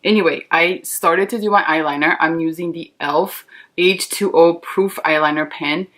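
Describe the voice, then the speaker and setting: A woman's voice: lowish voice, moderate pace, a bit bright. A woman in her thirties from Brazil, a Portuguese speaker, chatting in a candid, reassuring, informal way like a beauty vlogger. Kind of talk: casual chatty makeup tutorial.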